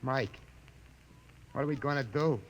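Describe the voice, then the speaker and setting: A man's voice: medium pitch, measured pace, natural voice. An actor playing a harried, hapless married repairman with an American accent in a 1950s radio drama. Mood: weary, worried. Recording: noisy vintage 1950s radio recording.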